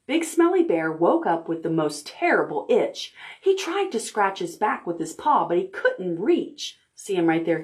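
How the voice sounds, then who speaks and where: Woman, children's librarian, upbeat and encouraging storytime read-aloud; distant camera mic in an echoey room. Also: fairly low pitch. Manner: cheerful, slow and encouraging, talking to small children. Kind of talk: preschool storytime, reading aloud and counting with kids. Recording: roomy sound from away from the camera mic.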